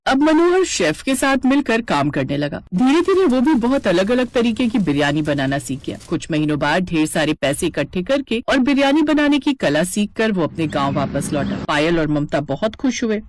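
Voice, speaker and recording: A woman's voice: on the low side, very animated, normal speed, few pauses. A woman narrating a scripted story in a storytelling style.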